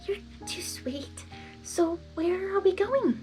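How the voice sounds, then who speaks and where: A woman's voice: very high-pitched, animated, slow, warm tone, faint music behind, pitched above the performer's own voice, heavily acted, poor audio. A woman voicing a shy, sweet young female pegasus pony with a hesitant, stammering delivery.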